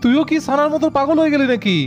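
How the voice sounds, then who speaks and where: A woman voicing brisk, emphatic young men in a doll cartoon. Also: high-pitched, a little mellow, faint music behind, lightly acted. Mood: exasperated. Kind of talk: cartoon voice acting.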